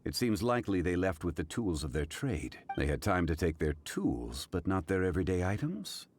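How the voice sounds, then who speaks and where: A man voicing a calm, learned and dignified character in a video game, formal and deliberate as he reasons aloud. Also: low voice, a little hiss or hum. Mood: composed.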